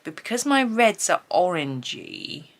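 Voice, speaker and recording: Stuffy-nosed british lady, low voice, rambly chat with lots of ums while she colours. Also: big pitch swings, a little slow. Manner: chatty, rambling, many ums. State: stuffy, blocked nose. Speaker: colouring channel host.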